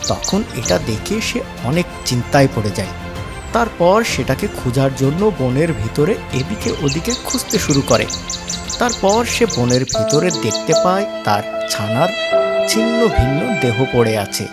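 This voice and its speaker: Lively storybook narrator over loud music. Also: mid-range voice, a little slow. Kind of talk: scripted children's story narration. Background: background music.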